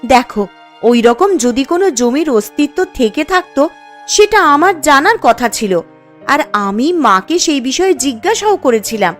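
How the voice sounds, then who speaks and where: A woman playing a concerned, sensible young village wife in a cartoon story, gently reasoning. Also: high voice, sing-song, normal speed, faint music behind. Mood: earnest, advising. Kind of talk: dramatic cartoon story dialogue.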